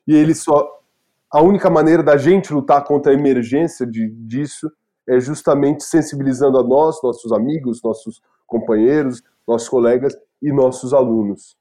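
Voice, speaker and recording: Mellow-voiced man, earnest teacher. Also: mid-range voice. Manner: earnest, appealing directly to listeners. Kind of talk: lecture discussion.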